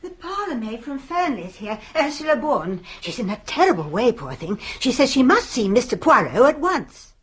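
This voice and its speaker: An actress playing a nosy, excitable but kindly village woman in a radio drama, chatty, fussing and opinionated. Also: on the high side, normal speed, hardly any pauses, husky, heavily acted. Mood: gossipy, eager.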